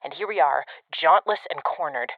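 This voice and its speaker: A woman's voice: low-pitched, normal speed, no echo. An actress playing a sardonic, blunt female secret agent in an audio drama, cornered and unravelling, heard through a radio filter. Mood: tense, wry. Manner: blunt, terse, swearing. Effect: radio transmission filter. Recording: tinny narrow-band radio with music.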